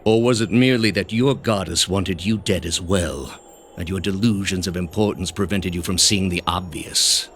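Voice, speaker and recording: An actor playing a cold, pompous male grandmaster of an ancient zealous order in a video game, speaking deliberately and with condescension. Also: low voice, fairly bright tone, a little hiss or hum. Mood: scornful, imperious. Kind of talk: dramatic video game dialogue.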